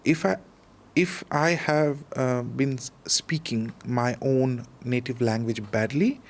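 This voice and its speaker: A man's voice: mid-range voice, takes it slowly. A young man with an Indian accent, chatting casually as an interview guest, hesitant with many restarts and easy laughs. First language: not Hindi, another Indian language. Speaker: guest, expat in Russia.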